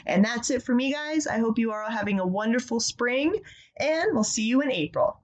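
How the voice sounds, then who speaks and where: A woman's voice: a little high, animated, moderate pace. A woman presenting scripted video game news as a regular segment contributor on a casual show.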